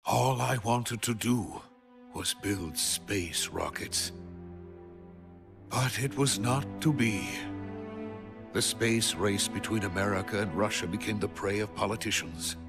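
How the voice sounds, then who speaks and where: An actor playing a weary, guilt-ridden middle-aged Russian rocket scientist in a video game, grave and deliberate. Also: male voice, fairly low pitch, over music. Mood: weary, bitter. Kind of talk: dramatic video game cutscene acting.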